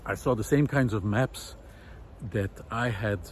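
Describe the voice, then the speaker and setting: A middle-aged man musing aloud in a reflective, hesitant monologue with frequent pauses, recorded while walking outdoors. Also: lowish voice. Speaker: visual artist and vlog host. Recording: outdoor ambient noise.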